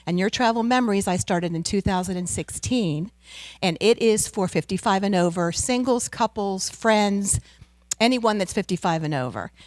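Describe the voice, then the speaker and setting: A middle-aged American woman giving a loud, upbeat seminar talk as a guest speaker into a handheld microphone. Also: mid-range voice, normal speed, no echo, low-quality recording. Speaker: guest speaker, travel business owner. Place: community center room.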